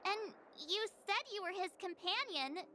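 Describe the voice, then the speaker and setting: A woman voicing a small, childlike, curious and excitable companion character in a video game. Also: very high voice, unhurried, noisy background. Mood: curious, cheeky. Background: background game music.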